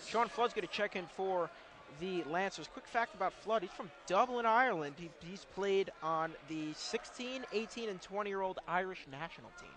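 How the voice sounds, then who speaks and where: High-voiced male basketball color commentator. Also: normal speed. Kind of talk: live basketball analysis and stats. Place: courtside in an indoor arena.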